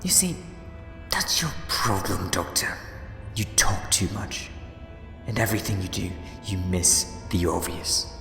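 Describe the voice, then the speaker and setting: Man playing a deep-voiced, smug, scheming villain. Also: very animated, measured pace, creaky, bright, forceful tone, full character voice. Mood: gloating. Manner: theatrical, wheedling, gloating. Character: gleefully smug scheming male time-traveller villain. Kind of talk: fan audio drama acting. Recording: echoey long reverb, music behind.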